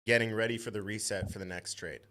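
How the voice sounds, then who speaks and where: A man's voice: fairly low pitch, steady tone, fast, pushed and bright, slight background noise. A man co-hosting a trading show, chiming in with short, affirming remarks.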